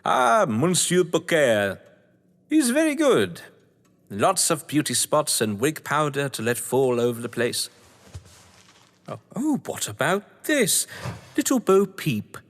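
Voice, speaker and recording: An actor playing a whimsical, scheming crime-novelist lord of the manor, with a British accent and a pompous, florid delivery, in a stage-thriller audio drama. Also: male voice, a little high, huge pitch swings, slow pace, heavily acted. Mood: excited, theatrical. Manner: florid, pompous, playfully grandiose. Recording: long hall-like reverb.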